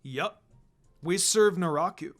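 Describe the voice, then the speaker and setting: A man performs a young mercenary swordsman from a fantasy story. He is smug and cocky, talking in a smooth, casual way. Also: high-pitched, takes it slowly, fairly bright tone, soft background music, exaggerated voice. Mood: confident.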